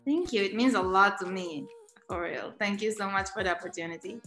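A young woman from Israel, Hebrew her first language, speaking English with an Israeli accent, answering interview questions softly with many ums over a video call from her living room. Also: medium pitch, fairly quick, echoey room, faint music behind. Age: young adult. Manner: soft-spoken, with frequent ums and laughs. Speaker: guest singer.

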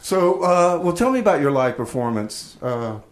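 A man's voice: on the high side, big pitch swings, normal speed, a little hiss or hum. A man hosting a radio show with casual chat and comic banter.

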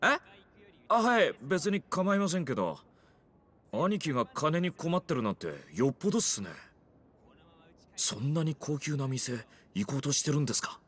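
A man's voice: on the high side, sing-song, normal speed, pauses often, a bit bright. A man playing a cheerful, deferential young yakuza underling in a video game, casual and eager. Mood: eager, teasing. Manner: casual, eager junior speech. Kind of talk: video game cutscene acting.

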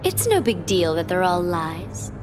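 A woman's voice: lowish voice, sing-song, measured pace. A woman voicing a cruel, mocking young female villain in a video game cutscene, taunting and condescending, over game music.

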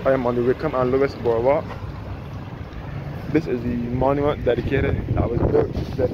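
A man giving a rambling walking-tour commentary outdoors by a harbour, often correcting himself. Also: medium pitch. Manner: rambling, self-correcting guide. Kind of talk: casual walking-tour narration. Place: outdoors on a sunny harbour boardwalk. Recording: windy outdoor recording, muffled.

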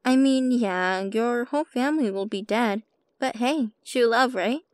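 A woman playing a sly, playful witch shopkeeper with a soft heart in an ASMR roleplay, coy and teasing as she addresses the listener. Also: a little high, a little slow, no echo, lightly acted. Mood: playful, coaxing. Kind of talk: ASMR roleplay, scripted character monologue.

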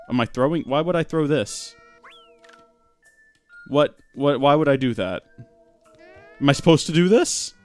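A young man rambles through live game commentary with deadpan jokes. His pitch swings up and down a lot as he talks. Faint music plays underneath. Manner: rambling deadpan joking, animated. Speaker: streamer.

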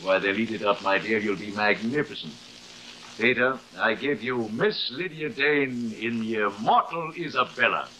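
An actor playing a tormented, grandly bitter middle-aged stage actor, declaiming dramatically in the style of old live television melodrama. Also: male voice, fairly low pitch, normal speed, sharp tone, slight background noise, poor audio. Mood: proud, indulgent.

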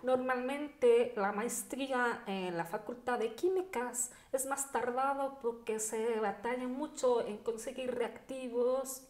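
High-pitched Mexican woman in an echoey room. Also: steady tone, takes it slowly, few pauses, darkish tone. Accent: Mexican Spanish. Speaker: podcast guest. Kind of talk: conversational interview, personal storytelling. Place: indoor dining room. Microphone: podcast microphone on table stand.

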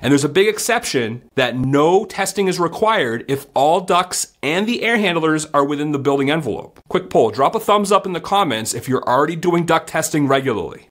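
A man's voice: fairly high pitch, fairly bright tone. A man in his forties hosting a scripted explainer vlog to camera from a home studio, in a punchy, emphatic presenter style. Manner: upbeat, punchy, emphatic presenter delivery with hand gestures. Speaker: HVAC educator and channel host. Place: home studio room, indoors.

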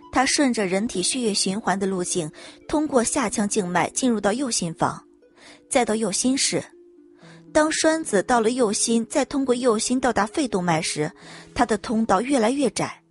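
A woman reading a scripted story as a dramatic audiobook narrator. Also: a little high. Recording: music bed under narration.

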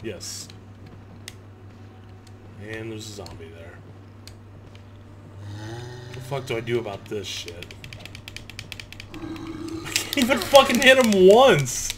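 Male gamer, informal and sweary, slow-paced but very lively in pitch, reacting to play over audible game ambience. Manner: informal, profane, talking to himself. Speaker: gamer recording a playthrough. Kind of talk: casual reactive video game commentary. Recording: clear background noise, game ambience.

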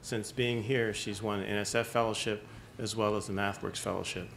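A middle-aged professor with a fairly low voice briskly introduces his student from a lecture hall podium. He often restarts phrases, and a faint rumble sits behind him. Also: steady tone, vocal fry, fairly bright tone. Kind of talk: speaker introduction. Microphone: podium microphone.

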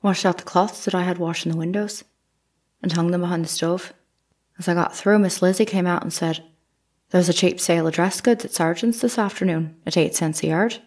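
A woman's voice: lowish voice, steady tone, fairly quick, a little mellow, lightly acted. A woman playing a plain-spoken nineteenth-century housemaid, testifying respectfully in a courtroom audio drama. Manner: plain, deferential. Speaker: actor.

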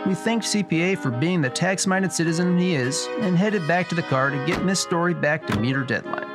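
A man's voice: on the high side, animated, fairly quick, close to an everyday voice. A man playing an earnest, wry detective narrator in an old-time noir radio drama. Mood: narrating. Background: music under the voice.

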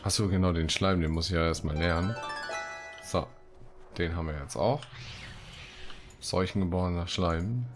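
Low-pitched man commentating a game. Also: moderate pace, long pauses, music in the background. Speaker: let's play host. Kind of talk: casual gameplay commentary with read-out quest texts.

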